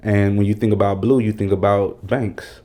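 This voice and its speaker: A man's voice: on the low side, little pitch movement, normal speed, warm tone. A man in his forties chatting casually as a podcast guest, using slangy, emphatic phrasing with repeats. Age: 40s. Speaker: podcast guest, brand strategist and producer.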